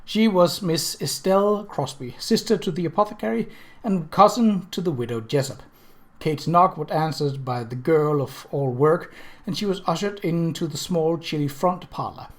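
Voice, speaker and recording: A man reading a period mystery novel aloud as scripted narration, with measured storybook phrasing. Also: a little high. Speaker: audiobook narrator.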